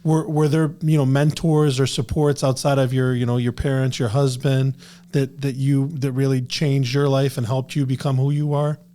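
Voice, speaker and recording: A man of middle pitch with a mellow, warm tone, hosting an interview. He rambles and restarts often, leaning on "you know" as he works toward his question. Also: flat delivery, moderate pace. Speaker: podcast host. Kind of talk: casual interview chat.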